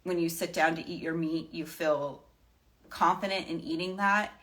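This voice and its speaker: A woman's voice: on the low side, fairly even intonation, normal speed, a bit bright. A woman giving casual, off-the-cuff wellness advice to her followers as a host, emphatic and reassuring, repeating phrases to drive points home.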